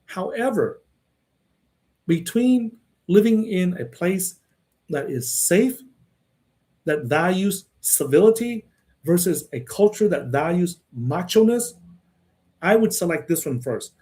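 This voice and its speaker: A man's voice: sits high, animated, slow, long pauses, dark tone. A man who grew up in Texas, talking casually in a rambling monologue and answering viewers' questions, often repeating words and tagging his sentences with "right?" and "okay?".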